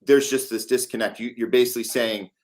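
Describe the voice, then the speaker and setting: A man's voice: medium pitch, steady tone, brisk, a little mellow. A man hosting an interview in a conversational style, speaking as the show's host.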